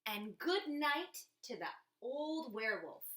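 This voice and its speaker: A woman teacher reading a picture book aloud to children in an expressive, encouraging way. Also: a little high, takes it slowly. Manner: expressive, encouraging, asks the listener questions. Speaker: elementary school teacher. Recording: echoey room.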